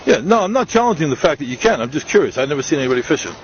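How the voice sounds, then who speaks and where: A man's voice: fairly high pitch, very animated, very fast. A man with a New York accent, a chatty YouTuber who films strangers and recaps it in a jokey, brash way. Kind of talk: street video chat and home vlog recap. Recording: lo-fi camcorder audio with faint noise.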